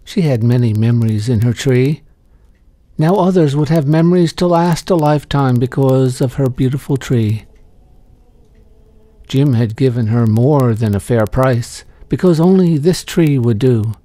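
A man reading a short story as scripted narration, in a gentle, measured storytelling manner. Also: mid-range voice, lively intonation, no room sound. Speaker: story reader.

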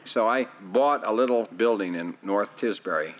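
An older man with a low voice tells his memories in a rambling way and often restarts his sentences. The recording is dull and lo-fi. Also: normal speed. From United States. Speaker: interviewee. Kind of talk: oral history reminiscence. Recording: dull lo-fi sound.

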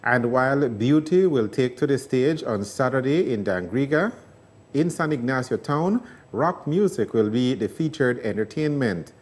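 A middle-aged man reading the news in a measured, formal way, as anchor and interviewer. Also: mid-range voice, lively intonation, a little mellow.

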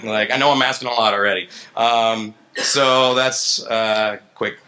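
A man in his thirties speaking informally at a stand mic as a conference speaker, halting and conversational, often checking in with "right?". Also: mid-range voice, bright, forceful tone. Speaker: conference speaker, software engineer. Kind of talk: informal lightning talk, answering questions. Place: gallery event space, indoors, on stage. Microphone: handheld-style vocal mic on a stand.

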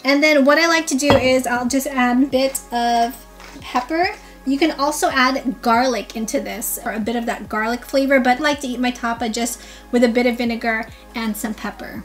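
High-voiced upbeat young woman vlogger. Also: a bit bright. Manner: upbeat, instructive, addresses viewers as you guys. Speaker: cooking vlogger. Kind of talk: cooking tutorial narration. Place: home kitchen, indoors.

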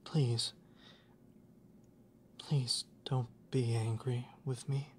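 An actor playing a reserved, lovesick young classmate in an ASMR roleplay, speaking in a soft, hesitant, formal way, often near a whisper. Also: mid-range voice, little pitch movement, drawn-out words, a little hiss or hum. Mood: resigned, restrained.

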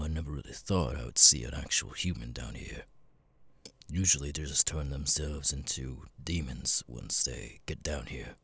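A man voicing a gruff, jaded cat demon bartender in an ASMR roleplay, muttering and swearing wearily with hesitant pauses in a deep, gravelly rasp. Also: a bit bright, no echo, full character voice. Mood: curious, wry.

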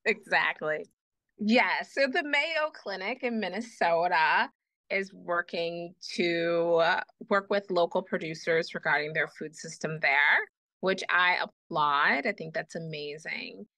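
Bright-voiced earnest woman podcast guest. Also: lowish voice, slow, no echo. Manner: passionate, repeats phrases for emphasis. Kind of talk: earnest advocacy in conversation.

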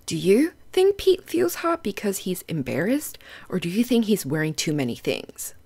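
A woman's voice: medium pitch, wildly swooping pitch, normal speed, a little mellow, slightly rough. A woman hosting a children's storybook read-aloud, explaining things in simple, instructive phrases and putting questions to young listeners.

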